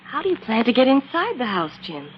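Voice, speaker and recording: An actress playing an eager, anxious, inquisitive sidekick to a detective hero in an old-time radio adventure. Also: female voice, a little high, fairly quick. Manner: inquisitive, animated. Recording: muffled, noisy old radio recording.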